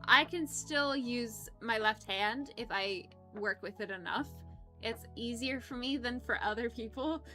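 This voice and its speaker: Young woman, streamer, rambling casual chat, laughs a lot, bright tone, faint music in background. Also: fairly high pitch, a little slow. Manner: chatty, rambling, stumbles over words; giggly, laughs often.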